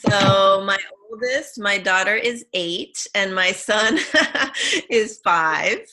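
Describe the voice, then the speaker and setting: A woman's voice: medium pitch, slow pace, pushed and bright, rough recording. A woman in her thirties from Brazil, a guest in a casual interview, friendly and upbeat, laughing easily. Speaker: guest mother.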